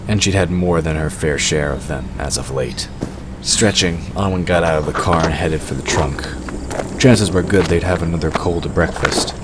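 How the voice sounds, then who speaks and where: Male narrator, extremely low pitch, scripted storytelling, clear background noise.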